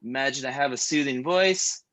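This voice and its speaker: A man in his thirties leading a stretch class, joking between calm breathing cues. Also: medium pitch, normal speed, slight background noise. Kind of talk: guided stretching class with casual chat.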